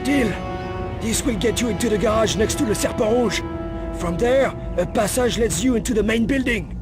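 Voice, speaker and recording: An actor playing a shifty, grudging old underworld informant in a video game, wary and always bargaining. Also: male voice, sits high, moderate pace, music in the background, lightly acted. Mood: guarded. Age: older. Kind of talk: video game cutscene acting.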